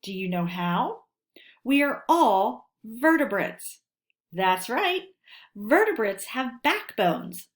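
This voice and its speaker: A woman teacher reading a lesson aloud for children in a deliberate, instructional way, posing questions and answering them. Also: on the high side.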